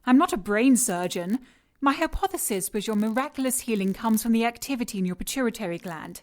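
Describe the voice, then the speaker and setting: An actress playing a cold, seductive scientist in an audio drama, speaking with clinical precision and teasing condescension. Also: female voice, fairly high pitch, lively intonation, fairly quick, darkish tone, close to an everyday voice. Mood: dismissive, lecturing. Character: cold, mocking, seductive female scientist in charge of experiments. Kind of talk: audio drama acting, sci-fi noir.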